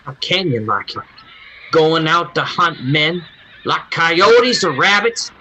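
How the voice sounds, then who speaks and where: A man's voice: fairly high pitch, expressive, takes it slowly, pushed and bright, a little hiss or hum, exaggerated voice. A man playing a bitter, anguished, self-loathing young son in a radio western drama. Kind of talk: old-time radio drama acting.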